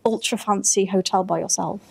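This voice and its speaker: A woman's voice: medium pitch, steady tone, a little fast, creaky, a little mellow, slightly rough. Casual, wryly teasing woman co-hosting a podcast. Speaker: podcast co-host. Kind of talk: casual, unscripted podcast banter.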